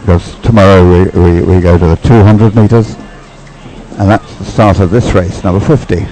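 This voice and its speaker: A man's voice: low voice, steady tone, normal speed, dark tone, rough recording. A man giving unscripted live race commentary in a rambling, hesitant way, often thinking aloud. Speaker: sports commentator.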